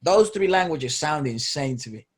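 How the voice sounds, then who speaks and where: A man's voice: fairly high pitch, expressive, breathy edge. A man from Venezuela, a native Spanish speaker, co-hosting a podcast in English and rambling with frequent restarts. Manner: fast, rambling, many restarts. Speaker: podcast co-host. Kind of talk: casual podcast chat.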